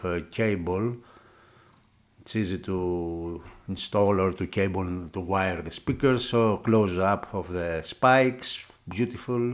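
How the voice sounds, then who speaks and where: A man with a fairly low voice talks through a hands-on product demonstration. He is a non-native English speaker and speaks haltingly, often stopping to search for the next word. The delivery is unscripted reviewer commentary. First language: not English. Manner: halting, searching for words, with frequent hesitations. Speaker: product reviewer for an audio magazine.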